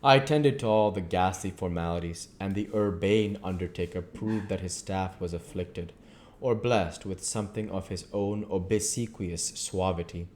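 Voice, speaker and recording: A man reading a novel aloud at a measured pace, with occasional slips and restarts. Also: low voice, a little mellow, slight background noise. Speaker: audiobook reader.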